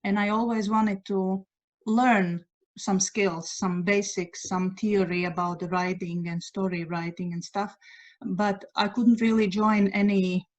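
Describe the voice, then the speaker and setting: A middle-aged woman with a Latvian accent talks at a medium pitch, chatting in a second language that she speaks with a halting rhythm as she hunts for words. The sound is muffled and lo-fi, as on a home video call. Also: steady tone. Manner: halting, searches for English words. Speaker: guest writer sharing news. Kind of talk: casual chat on a video call. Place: at home by a window at night. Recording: dull lo-fi video call audio.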